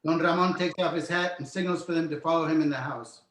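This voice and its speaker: Casting: male narrator for screenplay directions, slightly high voice, roomy echoing sound. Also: fairly even intonation, fast, fairly bright tone. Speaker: narrator reading stage directions. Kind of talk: screenplay table read narration, present tense.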